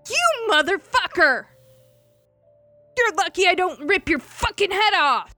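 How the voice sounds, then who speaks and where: A woman voicing a stern, foul-mouthed male pirate enforcer who curses and shouts threats in an audiobook-style story reading. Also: on the high side, expressive, slow pace, no room sound, soft background music, heavily acted. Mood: bellowing. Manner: gruff, cursing, shouting threats.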